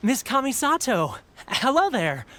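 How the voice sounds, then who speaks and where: Lively-pitched, genial stall keeper. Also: male voice, unhurried, a little hiss or hum, heavily acted. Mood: welcoming, deferential. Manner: effusive, courteous salesman-like patter. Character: genial, deferential fortune-slip stall keeper, welcoming and eager to please. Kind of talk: anime video game voice acting. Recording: music behind the voice.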